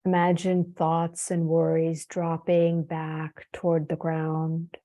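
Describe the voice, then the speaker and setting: A woman leading a guided meditation as a yoga teacher, soothing and unhurried, leaving long pauses between phrases. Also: low voice, monotone, mellow, highs cut off.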